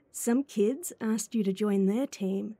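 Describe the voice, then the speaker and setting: A woman's voice: mid-range voice, drawn-out words, dark tone, husky, no echo, heavily acted. A woman voice actor playing a playful, teasing, clingy schoolgirl childhood friend in a chatty ASMR roleplay. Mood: fond teasing.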